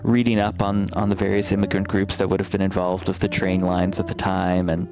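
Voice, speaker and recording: A male developer guest chats politely in a fairly low voice. He pauses to pick his words. Music is clearly audible behind him. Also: completely flat delivery. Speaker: game developer and artist guest. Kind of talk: casual chat.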